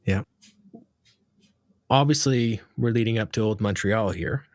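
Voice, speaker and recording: A man's voice: fairly low pitch, measured pace, a little mellow, no room sound, studio quality. A man hosting a casual interview, asking long, rambling questions with fillers. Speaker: podcast host.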